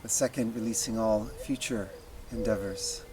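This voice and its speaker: A man leading a guided meditation as a teacher, speaking in slow, deliberate phrases with long pauses. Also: fairly low pitch, steady tone, crowd noise.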